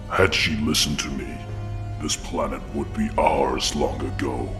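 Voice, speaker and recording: A man playing a brutish monster general in an audio drama, a cold, menacing traitor with a gravelly voice. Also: very deep voice, flat delivery, measured pace, big-room sound, over music. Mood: smug. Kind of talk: villain acting in audio drama.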